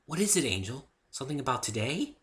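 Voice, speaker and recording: A man voicing a timid, gentle young female pony, high-pitched. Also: big pitch swings, quick pace. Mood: apologetic, polite. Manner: meek, soft-hearted, apologetic. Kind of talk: amateur dramatic reading of a fan story.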